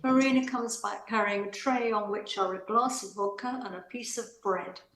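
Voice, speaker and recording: A woman's voice: mid-range voice, fairly even intonation, moderate pace, fairly bright tone. Echoey woman narrating stage directions. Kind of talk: scripted narration. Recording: echoey room.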